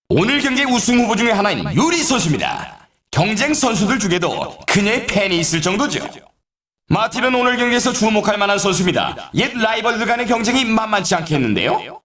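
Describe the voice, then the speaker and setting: An actor playing an excitable, showy snowboard race announcer in a video game, delivering scripted commentary with dramatic rhetorical flair. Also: male voice, sits high, a little fast. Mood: excited, suspenseful. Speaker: sports announcer. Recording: roomy echo, distant from mic.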